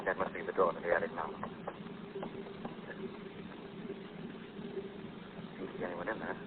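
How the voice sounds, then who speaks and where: An actor playing a suave, commanding gentleman sleuth with crisp, decisive delivery in 1940s radio drama style. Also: low-pitched, flat delivery, very fast, close to an everyday voice. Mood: calm, wary. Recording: tinny, noisy 1940s radio recording.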